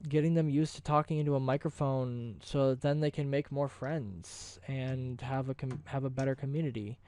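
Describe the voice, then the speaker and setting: A man's voice: medium pitch, fairly even intonation, moderate pace, darkish tone, smooth, no room sound. A man hosting a video, talking casually and off the cuff in an effusive, rambling promotional style. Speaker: video host. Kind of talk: casual ad-libbed promotional vlog talk.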